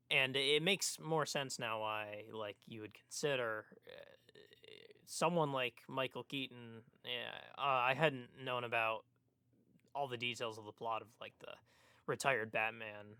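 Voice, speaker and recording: A man's voice: mid-range voice, bright, forceful tone, clean tone, no room sound. A man co-hosting a casual podcast, hesitant and thinking aloud as he talks. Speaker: podcast co-host.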